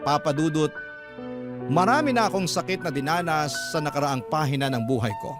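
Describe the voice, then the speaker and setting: A man narrates a sentimental letter as a radio drama host. His voice sits slightly high, with deliberate, dramatic phrasing, and loud music plays behind him. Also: animated. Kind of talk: dramatic first-person letter reading.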